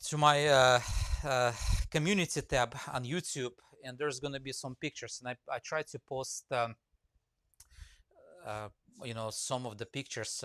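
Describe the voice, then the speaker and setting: A man with a Russian accent talks loosely to his live-stream viewers, full of ums and restarts. His voice sits at an ordinary middle pitch. The sound is dry and close, with no room echo. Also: fairly bright tone. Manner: rambling, many fillers, reads comments aloud. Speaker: woodcarving teacher live-streaming.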